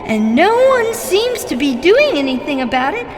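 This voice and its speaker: A woman's voice: sits high, sing-song, moderate pace, music in the background. A woman voicing a cheerful talking dead cat, an eager, melodramatic crime-fighting sidekick with a sing-song delivery. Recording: long reverb, noisy ambience.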